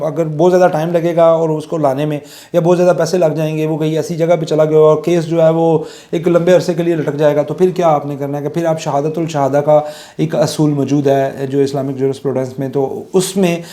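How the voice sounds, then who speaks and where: A middle-aged man with a Pakistani accent and a soft, mellow tone, pitched a little high. He lectures informally, explaining step by step in a conversational way and slipping English legal terms into his speech. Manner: explanatory, conversational, illustrating with everyday examples. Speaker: law lecturer.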